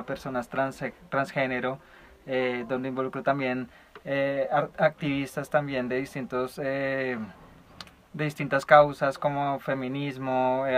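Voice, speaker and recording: A man introducing himself as an artist and social leader, thoughtful and even, pausing with hesitations. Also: mid-range voice. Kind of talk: documentary self-introduction.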